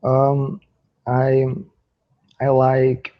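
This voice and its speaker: A young man from Brazil with a Brazilian accent, a native Portuguese speaker practicing English haltingly, searching for words. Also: mid-range voice, monotone, muffled recording. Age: young adult. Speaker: English student.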